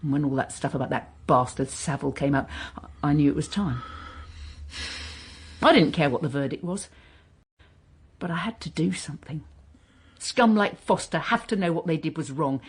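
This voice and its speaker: A woman's voice: deep voice, breathy edge. An actress playing a bitter, defiant woman wronged years ago, in a naturalistic radio drama. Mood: wounded, angry. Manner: impassioned, halting when hurt.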